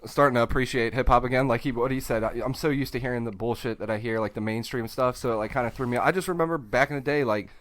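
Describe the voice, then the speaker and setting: Fast-talking young man, casual and slangy. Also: medium pitch, a bit bright. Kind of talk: casual, slangy podcast chat.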